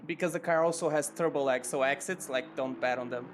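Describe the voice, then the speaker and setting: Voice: medium pitch, fairly quick, slight background noise. A co-commentator chatting casually during an online race broadcast, joking and laughing often. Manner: playful, laughs often, lively swooping exclamations.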